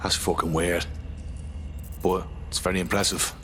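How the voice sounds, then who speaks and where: An actor playing a wary, foul-mouthed resistance operative in a video game. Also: male voice, deep voice, little pitch movement, normal speed, fairly bright tone, a little hiss or hum. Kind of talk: video game cutscene acting.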